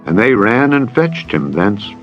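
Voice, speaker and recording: A man's voice: fairly low pitch, very animated, measured pace. A man narrating a dramatized scripture audiobook in a solemn, formal, declamatory way. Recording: dull band-limited audio with music. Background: background music.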